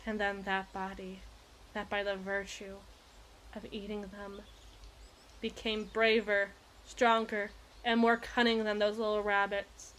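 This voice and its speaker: A woman playing an obsessive, eloquent evil queen in a dramatic ASMR roleplay monologue, with deliberate, theatrical phrasing and pauses. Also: mid-range voice, flat delivery, fairly bright tone, slight background noise, lightly acted, low-quality recording. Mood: dark, brooding.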